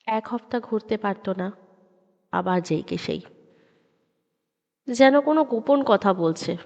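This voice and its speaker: Slow-paced woman narrating horror, long reverb. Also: medium pitch, animated, a little mellow. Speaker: audio story narrator. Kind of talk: dramatic scripted horror narration. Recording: dull sound with long reverb.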